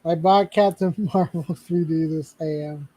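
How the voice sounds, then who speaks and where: High-voiced joking male streamer. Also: fairly even intonation, normal speed, dark tone, low-quality recording. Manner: joking, laughs often, stumbles and restarts. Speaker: streamer host. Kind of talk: casual livestream chat.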